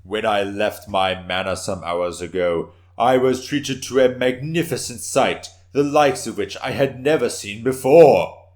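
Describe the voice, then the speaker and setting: A man's voice: lowish voice, measured pace, darkish tone, natural voice. A man performs a proud, defiant samurai warrior in a storybook tale. He declaims in grand, old-fashioned language, theatrical and bombastic, as if addressing a whole hall. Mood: grandiose. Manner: declaiming, bombastic, archaic formal speech.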